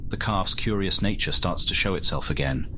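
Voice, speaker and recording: A man playing a solemn nature documentary narrator in a game, with measured, articulate delivery. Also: deep voice, noisy background, close to an everyday voice. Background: music.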